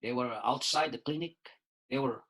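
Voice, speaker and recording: Halting Filipino man in his sixties. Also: medium pitch, fairly bright tone. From Philippines. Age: 60s. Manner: halting, many "uh" pauses, repeats words, says "you see" often. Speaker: doctor and lawyer giving a product testimonial. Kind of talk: casual spoken testimonial.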